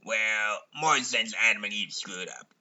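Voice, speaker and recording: Forceful low-voiced man narrating a parody. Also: a little slow, dull audio.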